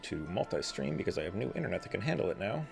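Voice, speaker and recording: Very deep, gravelly male streamer. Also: big pitch swings, racing. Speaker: game streamer. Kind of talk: casual live stream commentary. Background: music.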